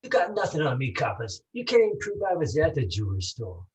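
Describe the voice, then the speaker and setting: A man's voice: a little high, huge pitch swings, fast talker, distant mic. Man as a defiant small-time crook, off-mic. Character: defiant small-time male crook, protesting his innocence. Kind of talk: campy 1940s gangster radio acting. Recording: off-mic live stage pickup.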